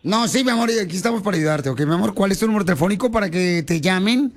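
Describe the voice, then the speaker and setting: A man's voice: high-pitched, lively intonation, racing. A man hosting a radio talk show, teasing his caller playfully and calling her pet names. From Mexico (Ocotlán).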